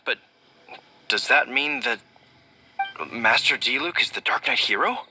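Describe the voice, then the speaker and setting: An actor playing an anxious, earnest young knight who speaks deferentially to a superior in a video game. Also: male voice, medium pitch, expressive, measured pace, pushed and bright. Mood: deferential, unsure. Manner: deferential, hesitant.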